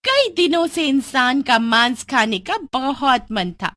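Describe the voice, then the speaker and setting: Woman voicing a high, excitable young goat-witch girl. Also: slow, dry recording, studio quality, full character voice. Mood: gloating, menacing. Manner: excitable, pleading, turning gleefully menacing. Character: young half-goat witch girl, eager daughter, secretly man-eating.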